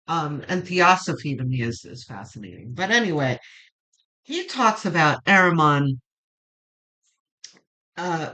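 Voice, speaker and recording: A woman with a low voice that turns gravelly when quiet, slowly and reassuringly reading tarot cards and thinking aloud. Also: rough recording. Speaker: tarot reader.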